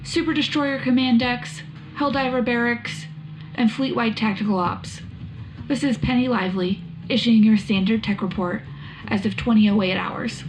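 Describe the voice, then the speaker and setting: Crisp military tech officer played by a woman. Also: a little high, normal speed, lightly acted, poor audio. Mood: brisk, official. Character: brisk female military tech officer on wartime radio. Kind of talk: scripted sci-fi military news report. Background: faint music.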